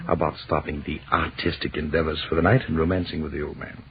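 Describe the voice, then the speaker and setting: An actor playing a wry, romantic astronomy professor and husband with florid, mock-pompous delivery in an old radio drama. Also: male voice, a bass voice, fairly even intonation, quick pace. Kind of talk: 1940s radio drama acting. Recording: muffled, noisy old radio recording.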